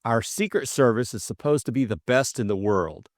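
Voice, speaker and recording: Man playing an eager young gentleman, very lively pitch. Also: moderate pace, no echo, clean studio sound, lightly acted. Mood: shrewd, earnest. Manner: breezy upper-class slang, exclamations. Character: eager young English gentleman amateur sleuth, breezy and excitable.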